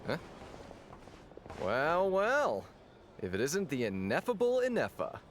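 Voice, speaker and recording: Very animated, affable tavern owner. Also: male voice, on the high side, slow pace, slight background noise, exaggerated voice. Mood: jovial, welcoming. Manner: jovial, playful, expressive. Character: affable, theatrical male tavern owner, easygoing. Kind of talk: video game voice acting. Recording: music behind the voice.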